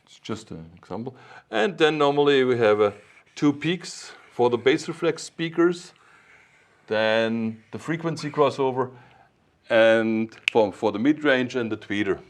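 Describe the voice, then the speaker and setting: Man explaining technical matters haltingly. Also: medium pitch. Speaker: technical expert explaining loudspeakers. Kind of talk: informal technical explanation with drawn diagrams.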